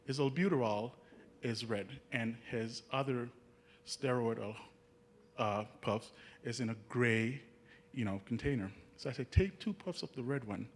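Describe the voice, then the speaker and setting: A young Jamaican man, a nursing student, telling an audience about his path in an earnest, halting way, with many restarts. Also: medium pitch, fairly bright tone, slight background noise. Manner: earnest, halting, frequent restarts, chuckles at his own stories. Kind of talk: personal story talk to an audience.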